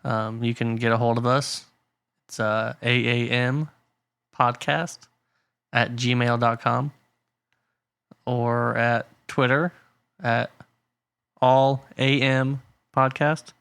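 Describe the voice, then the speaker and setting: Young male podcast co-host, bright dry voice, easygoing, laughs a lot. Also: mid-range voice, little pitch movement, takes it slowly, creaky, long pauses, clean tone, no room sound. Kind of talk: casual chat.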